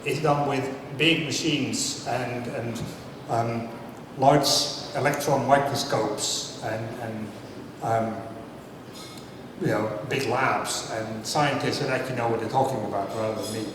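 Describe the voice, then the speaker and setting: A man's voice: medium pitch, steady tone, a little slow, far from the mic. A man, giving a chatty, self-deprecating talk and putting questions to a live audience. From Netherlands. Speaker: speaker at a science talk night. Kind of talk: casual public talk with audience banter. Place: large hall before a live audience. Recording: echoey hall, mic from afar, noisy.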